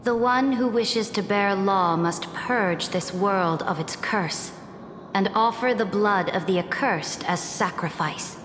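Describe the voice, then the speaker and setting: An actress playing a cold, commanding, ruthless empress in a video game, with an imperious, measured and solemn delivery. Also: female voice, mid-range voice, fairly bright tone, a little hiss or hum. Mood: solemn, resolute. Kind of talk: video game drama dialogue. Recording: echoey reverberant hall, music behind.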